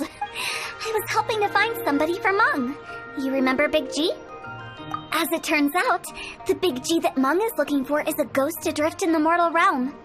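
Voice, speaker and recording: A cheeky young funeral director who turns morbid remarks into breezy teasing. Her voice is very high and bright in pitch. Game music plays behind her. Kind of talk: game cutscene voice acting. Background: game score.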